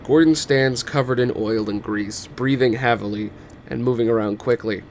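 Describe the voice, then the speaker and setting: A man's voice: medium pitch, moderate pace. A man narrating an amateur screenplay table read as a podcast host. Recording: noticeable background noise.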